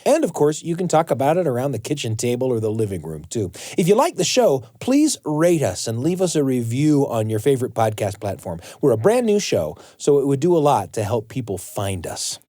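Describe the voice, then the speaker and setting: A man with a mellow voice and very lively intonation hosts a story podcast. He speaks like a storyteller, close and dry, over faint music. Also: medium pitch, a little fast, hardly any pauses, dry recording. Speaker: podcast host. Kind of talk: storytelling and scripted hosting. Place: dry studio. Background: light music.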